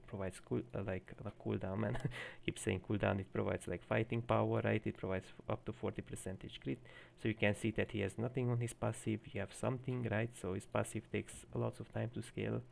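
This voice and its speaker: A man's voice: lowish voice, gravelly, darkish tone, slight background noise. A man with a Hungarian accent coaching a player live on stream, explaining at length with many fillers. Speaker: game coach.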